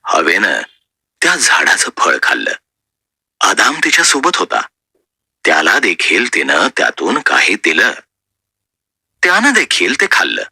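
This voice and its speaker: A man's voice: sits low, wildly swooping pitch, a little slow, pauses often, bright, forceful tone, slightly rough, no room sound, clean studio sound. A man narrating a scripted Bible story as a storyteller.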